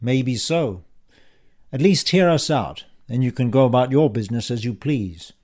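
A man voicing a cheeky male schemer in an audiobook, calm, confident and mockingly polite as he taunts. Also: mid-range voice, moderate pace, close to an everyday voice. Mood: calm, taunting. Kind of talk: audiobook character dialogue.

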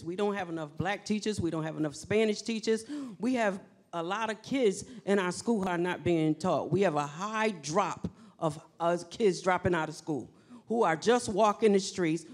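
A woman's voice: lowish voice, a little mellow. A middle-aged woman pastor answering at a candidate forum, emphatic and repetitive, on a handheld mic in a library meeting room. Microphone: handheld microphone.

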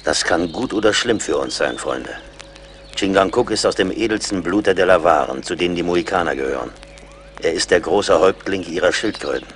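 Deep-voiced, wry frontier woodsman. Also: fairly quick, slight background noise. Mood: measured. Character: seasoned, wry frontier woodsman, brave and commanding. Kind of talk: German radio play acting.

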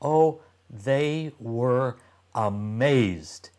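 An older man reads a children's storybook aloud at a very slow pace. His pitch sits in the middle for a man, and he places each phrase deliberately, with expressive emphasis for young listeners.

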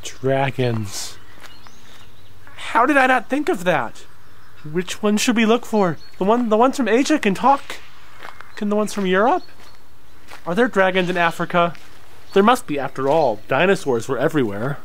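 A man acting an eager, grumbling boy in an audio drama, whiny and excitable. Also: high-pitched, moderate pace, pauses often, a little hiss or hum. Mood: excited.

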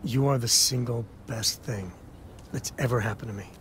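An actor playing a rugged, bashful space cowboy and affectionate father in intimate video game dialogue, speaking haltingly and sincerely. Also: medium pitch, noisy background, close to an everyday voice. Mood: tender, loving. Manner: halting, earnest, sheepish. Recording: music behind the voice.

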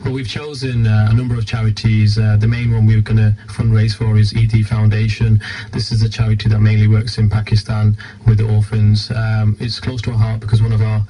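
A man's voice: fairly low pitch, monotone, brisk, dark tone, husky, poor audio. A British man giving an informal radio interview as a guest, explaining things earnestly. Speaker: charity team leader, radio interview guest.

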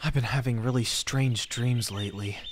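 A man's voice: mid-range voice, fairly even intonation, moderate pace, slight background noise, natural voice. A man voicing an anxious, lonely teenage schoolboy in a dramatic horror manga reading. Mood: melancholy, uneasy.

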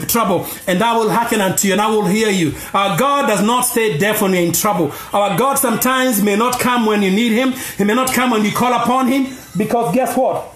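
A man preaching a sermon with passion, often shouting as his voice climbs. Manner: impassioned, often shouting and rising in pitch. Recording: roomy echo with faint music behind.

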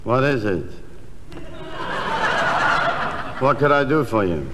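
A man's voice: mid-range voice, big pitch swings, fairly quick, close to an everyday voice. An actor playing a brusque, incredulous shoe repair man in a comedy sketch, impatient and dismissive. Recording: reverberant, faintly noisy old comedy album.

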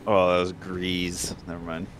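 A man's voice: low-pitched, monotone, measured pace, a little hiss or hum. A man in casual chat with friends during a co-op video game. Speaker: co-op gamer.

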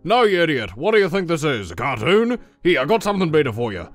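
A man's voice: a little high, sing-song, fast. A man voicing a grumpy, dismissive old male kettle elder in a cartoon comic dub, scolding as he talks. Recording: loud music under the voice.

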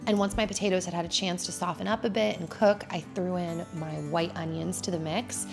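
A woman's voice: lowish voice, fairly even intonation, brisk, creaky, music in the background. A woman in her thirties, a vlogger chatting casually at home as she narrates her cooking. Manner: chatty, laid-back, with casual fillers. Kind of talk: cooking vlog voice-over. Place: home, indoors.

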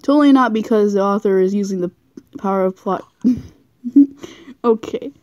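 A woman's voice: mid-range voice, moderate pace, darkish tone, no echo. A woman doing a casual, goofy read-aloud of fan fiction, giggly and often stumbling over her words. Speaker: amateur reader of fan fiction.

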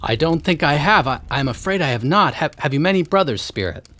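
A woman's voice: low-pitched, lively intonation, a little fast, fairly bright tone, a little hiss or hum, pitched below the performer's own voice. A woman voicing a humbled, hesitant old male miser who stammers as he speaks. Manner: stammering, subdued. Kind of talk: audiobook character reading.

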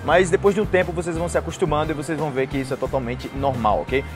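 A man's voice: on the high side, steady tone, racing, over music. A young man with a Brazilian accent teaching a conversational language lesson to camera, explaining with acted example phrases. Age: young adult. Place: outdoors on a patio by trees and a street. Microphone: lapel mic.

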